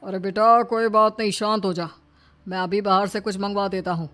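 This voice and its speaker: A woman's voice: mid-range voice, steady tone, normal speed, fairly bright tone, faint music behind, lightly acted. A woman voicing a domineering older father-in-law in a story, scornful and emphatic in delivery. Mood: soothing. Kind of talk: dramatised Hindi moral story.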